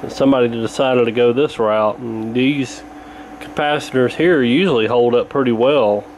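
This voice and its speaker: A man's voice: mid-range voice, moderate pace, a little mellow, a little hiss or hum, poor audio. A man giving a casual, hands-on repair walkthrough in a folksy, colloquial way, thinking aloud as he goes. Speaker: radio repair hobbyist presenting.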